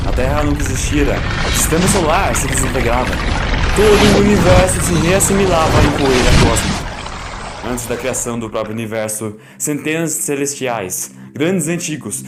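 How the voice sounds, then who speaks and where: Brief: male narrator for horror audiobook, solemn, dramatic, theatrical pacing with weighted pauses, clear music bed. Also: medium pitch. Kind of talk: dramatic scripted narration of cosmic horror fiction. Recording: loud music under the narration.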